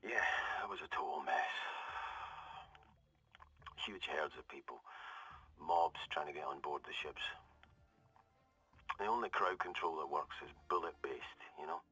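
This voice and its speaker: An actor playing a hard-bitten Scottish ex-military survivor in a game audio log, talking in a wry, conversational, confessional way. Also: male voice, very deep voice, a little slow, pauses often, pushed and bright, husky, heavily acted, low-quality recording. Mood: dry, knowing. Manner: conversational, hesitant, darkly wry, trailing off. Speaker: security corporal.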